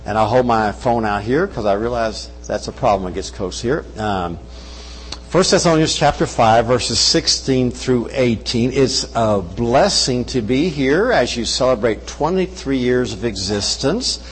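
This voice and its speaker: Older man, Kentucky accent, guest preacher, folksy and joking, mid-pitched voice, faint hum under it. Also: animated, measured pace, in a large hall, rough recording. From Brazil. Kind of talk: sermon. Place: church service. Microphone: wireless mic.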